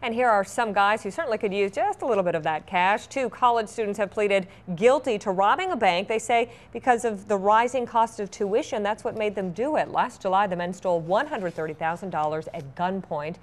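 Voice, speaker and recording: A woman's voice: mid-range voice, fairly quick, hardly any pauses. A woman anchoring a newscast, reading scripted stories with light conversational asides. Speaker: TV news anchor.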